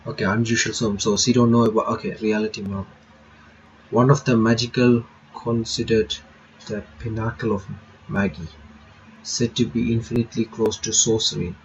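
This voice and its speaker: A man reading a visual novel aloud, with halting reading broken up by quick reactions of his own. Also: on the low side, level tone, distant mic, dull audio, slight background noise. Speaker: reaction channel host. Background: game music playing.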